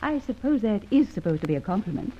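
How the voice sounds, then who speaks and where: A woman's voice: medium pitch, sing-song, fast, mellow, a little hiss or hum, natural voice. An actress playing a spirited, well-spoken young woman, an adventurer's arch companion, in an old radio drama. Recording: muffled old radio recording.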